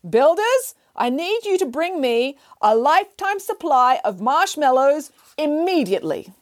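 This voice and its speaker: A woman voicing a bossy, reckless pig nanny who once worked in a circus, speaking briskly and cheerfully. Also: mid-range voice, sing-song, darkish tone. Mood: commanding.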